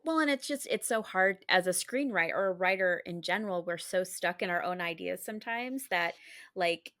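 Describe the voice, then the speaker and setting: A woman with a slightly low-pitched voice chats as a podcast host. Her delivery is informal and a little halting, as in a relaxed back-and-forth interview. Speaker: podcast host, screenwriter giving script feedback. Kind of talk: casual interview chat.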